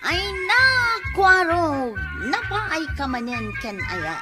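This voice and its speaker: High, sweeping Ilocano woman announcer over music. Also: very animated, slow. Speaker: radio drama announcer. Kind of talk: theatrical scripted narration and credits.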